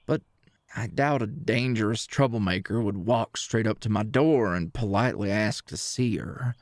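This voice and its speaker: An actor playing a friendly, awkward, protective rancher with a Southern US accent and hesitant, stammering, folksy speech in an ASMR roleplay. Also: male voice, on the low side, normal speed, dry recording, clean studio sound, lightly acted. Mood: protective, warning.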